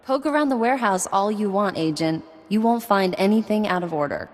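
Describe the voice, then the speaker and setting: A woman's voice: medium pitch, expressive, brisk, big-room sound, lightly acted. A woman playing a tough, sardonic cargo supplier with a clipped, no-nonsense manner in a video game. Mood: sarcastic.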